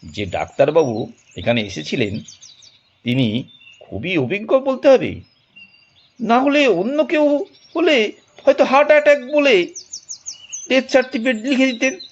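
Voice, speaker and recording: A man narrating a detective audio drama in an expressive, dramatic storytelling manner with deliberate pauses. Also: very high-pitched, dull audio.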